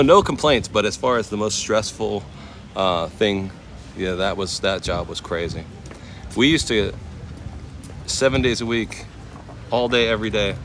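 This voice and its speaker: A man's voice: on the low side, a bit bright. An American man in his forties telling stories casually to live viewers in laid-back, slangy talk while walking outdoors. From United States, West Coast. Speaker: chef and livestreamer. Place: walking outdoors in a temple complex. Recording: noisy outdoor ambience, passing vehicles.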